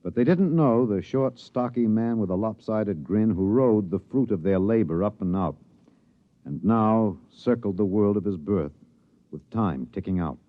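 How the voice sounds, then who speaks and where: Somber, low-voiced radio reporter. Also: male voice, expressive, measured pace, dry recording. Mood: grave. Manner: solemn, dramatic. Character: earnest, somber male radio news reporter narrating. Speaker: reporter narrator. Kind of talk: 1950s radio drama narration. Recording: dull 1950s radio recording.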